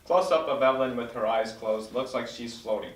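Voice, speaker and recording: A man's voice: medium pitch, brisk. A man reading stage directions as the narrator in a scripted table read. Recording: roomy recording, mic at a distance.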